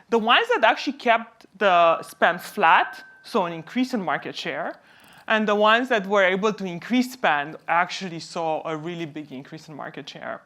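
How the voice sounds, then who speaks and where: Low-pitched woman presenting. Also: pushed and bright. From Romania. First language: Romanian. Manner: explanatory, posing questions then answering them. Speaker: company co-founder presenting at a conference. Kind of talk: conference presentation.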